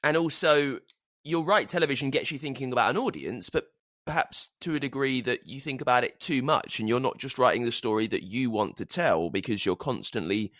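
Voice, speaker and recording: A man's voice: mid-range voice, a bit bright, dry recording, clean studio sound. A man hosting a conversational podcast interview, musing and hesitant as he feels his way toward questions. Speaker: podcast host interviewing a writer.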